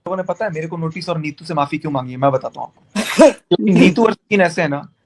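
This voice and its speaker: A man's voice: a little high, fast, background noise. A man speaking insistently in a heated, casual discussion, repeating his phrases to make his point. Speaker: YouTuber in a group call. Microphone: group phone call.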